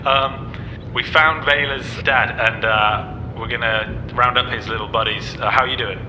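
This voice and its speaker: A man with a medium-pitched voice chats in character as a game player, friendly and hesitant. The room is echoey, with clear rumbling noise. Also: fairly even intonation, measured pace. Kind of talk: casual in-character chat.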